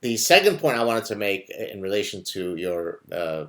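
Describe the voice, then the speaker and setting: A man's voice: on the low side, very animated, a bit bright. A man from Lebanon whose first language is Arabic, hosting a conversation and telling stories emphatically, often checking in with the listener. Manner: emphatic, speaks fast, often checks in with "right?". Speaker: host. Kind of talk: casual conversation, animated storytelling.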